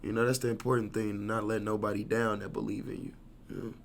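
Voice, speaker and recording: Thirties male rapper guest, gravelly and flat-toned, laid-back slang, faint hiss. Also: on the low side, brisk. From Kansas City, USA. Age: 30s. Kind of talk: casual interview chat.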